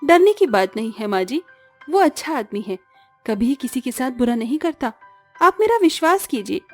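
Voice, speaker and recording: An earnest young daughter-in-law from a village cartoon tale, voiced by a woman. She has a high female voice, sincere and pleading, over background music. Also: big pitch swings, moderate pace. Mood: reassuring.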